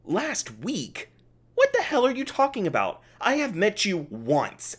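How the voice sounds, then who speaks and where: A performer voicing a snarky, exasperated scientist held captive in an audio roleplay, sarcastic, testy and casual in speech. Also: mid-range voice. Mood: incredulous, irritated. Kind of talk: ASMR audio roleplay, one-sided dialogue.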